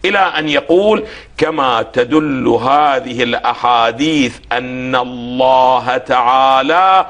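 A hoarse man, a religious scholar on a TV programme, lecturing in a didactic way and asking questions for the host to answer. He reads quoted passages slowly and deliberately. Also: mid-range voice, fairly bright tone. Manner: slow, deliberate when reading quotations.

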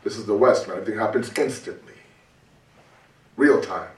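A man giving a conversational religious talk, animated and full of rhetorical questions and repetition. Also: on the low side, brisk. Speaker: preacher. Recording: roomy, distant mic.

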